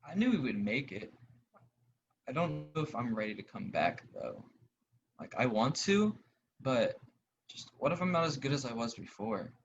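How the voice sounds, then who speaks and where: A man's voice: medium pitch, very animated, normal speed, long pauses. A young male actor playing a grieving, self-doubting athlete in a drama, sounding unsure and apologetic. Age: young adult. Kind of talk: drama dialogue. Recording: dull, noisy lo-fi audio with clicks.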